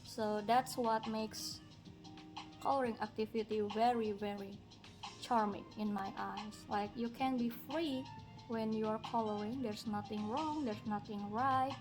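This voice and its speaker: Soft-spoken woman from indonesia, english not her first language, slow thinking-out-loud coloring chat, music playing under her. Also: on the high side, steady tone. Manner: slow, musing, talks while working, light laughs. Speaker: coloring livestreamer. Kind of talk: relaxed coloring livestream chat. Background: background music.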